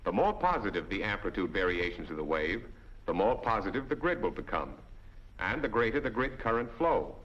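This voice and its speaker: American man, lowish voice, animated intonation, methodical instructional narrator, muffled 1940s film soundtrack. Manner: didactic, methodical, step-by-step explaining.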